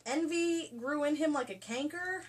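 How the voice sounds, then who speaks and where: A woman's voice: sits high, normal speed. A woman giving a comedic dramatic reading of a novel, mock-theatrical and breaking into casual asides. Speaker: co-host reading a novel aloud. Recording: noisy room, distant microphone.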